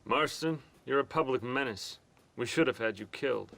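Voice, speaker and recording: An actor playing a stern, hostile government agent in a western game, curt and accusing. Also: male voice, mid-range voice, normal speed, vocal fry, a bit bright, a little hiss or hum. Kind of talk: cinematic western game dialogue.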